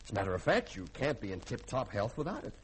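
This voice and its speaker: Glib, flirtatious lieutenant, very animated. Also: male voice, fairly low pitch, fast talker. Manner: coaxing, glib. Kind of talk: 1950s radio drama acting. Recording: noisy vintage radio recording.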